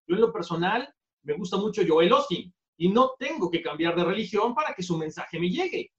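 High-voiced man in an echoey room. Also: very animated, fairly quick, a bit bright. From Mexico. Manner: affirming, conversational. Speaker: interviewer, podcast host. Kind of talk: interview conversation. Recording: echoey, dull room sound.

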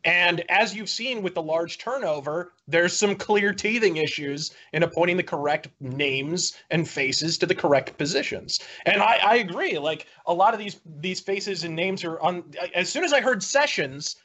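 A man's voice: sits high, expressive, moderate pace, hardly any pauses, sharp tone. A man in a heated political debate, argumentative, often breaking off and restarting his sentences. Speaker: debate guest.

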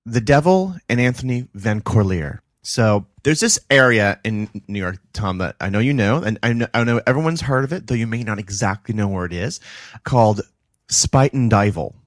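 A man's voice: lowish voice, lively intonation, dry recording, clean studio sound. A man co-hosting a podcast, joking playfully and telling a historical tale in casual chat. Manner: playful, quick to joke. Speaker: podcast co-host.

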